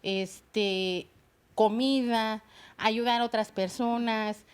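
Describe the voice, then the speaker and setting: A middle-aged woman speaks in a fairly high voice as a talk show guest. She talks in a hesitant, halting way, restarting her sentences. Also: steady tone, clear, resonant tone. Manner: hesitant, many fillers and restarts. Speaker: guest, bilingual secretary. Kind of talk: personal testimony on a talk show.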